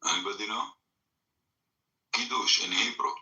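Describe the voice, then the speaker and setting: Emphatic man, bright tense voice. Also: fairly low pitch, fairly even intonation, normal speed, low-quality recording. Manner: broken English with many fillers, emphatic. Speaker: storyteller recounting family memory. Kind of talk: emotional personal storytelling.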